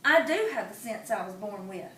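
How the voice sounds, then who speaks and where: A woman voicing a small boy possum who brags he is not scared, drawing out his words slowly, in an echoey room. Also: medium pitch, animated, sharp tone. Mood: cheerful. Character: small timid but boastful boy possum. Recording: echoey room, mic at a distance.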